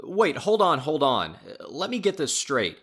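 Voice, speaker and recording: An actor playing a skeptical, measured legal official in an audio drama, formal and deliberating. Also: male voice, fairly high pitch, huge pitch swings, fairly bright tone, exaggerated voice. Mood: skeptical, puzzled.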